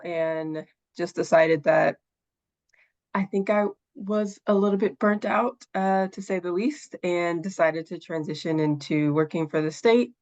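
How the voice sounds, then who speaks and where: An easygoing woman introduces herself on a video call, speaking casually with a few ums. Her voice sits somewhat low. The call audio is a little dull and muffled. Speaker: administrative assistant. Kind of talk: webinar self-introduction. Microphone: computer mic on a video call.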